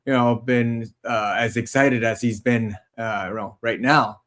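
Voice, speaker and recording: A man's voice: mid-range voice, steady tone, unhurried, a bit bright. A man hosting a podcast interview, enthusiastic and repeating words as he starts his sentences. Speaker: podcast host. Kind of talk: casual interview talk.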